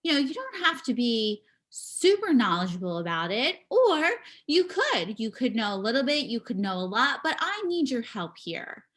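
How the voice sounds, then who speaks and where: A woman giving a live spoken interpretation of a signing performer, speaking in halting bursts with pauses and restarts, over a video call. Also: on the high side, big pitch swings, fairly bright tone. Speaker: sign language voice interpreter.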